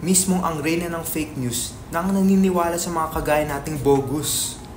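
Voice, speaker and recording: A man's voice: on the high side, moderate pace, noisy background, lightly acted. A man playing a doubtful, guilt-ridden young writer in a dramatic script reading, reluctant and questioning. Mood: uneasy.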